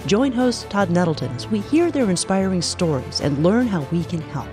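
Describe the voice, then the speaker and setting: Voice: medium pitch, animated, normal speed. An announcer delivering scripted narration for the introduction of a radio program. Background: music.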